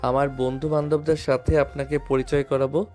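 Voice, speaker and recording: A man acts out a young husband and father, playful and caring, in a romantic audio drama. His voice is mid-pitched, with music playing softly behind it. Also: level tone, normal speed, lightly acted. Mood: persuading, calm. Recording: music under the voice.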